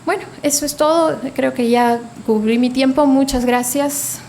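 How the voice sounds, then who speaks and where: Panel presenter: woman, fairly high voice, measured and list-like delivery, faint room noise. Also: steady tone, darkish tone. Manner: measured, lists ideas one after another. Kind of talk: conference presentation.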